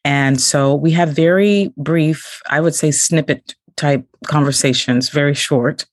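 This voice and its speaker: A woman's voice: sits low, fairly even intonation, measured pace, dry recording, studio quality. A woman hosting a podcast interview, reading a guest introduction and then chatting, laughing at her own slips. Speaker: podcast host.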